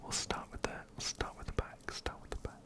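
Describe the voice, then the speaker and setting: A man whispering softly and breathily in an ASMR gameplay commentary, close up to a binaural microphone, unhurried and often repeating his words. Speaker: ASMR gaming video host. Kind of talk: ASMR let's play commentary. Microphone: close-up binaural microphone, ear to ear.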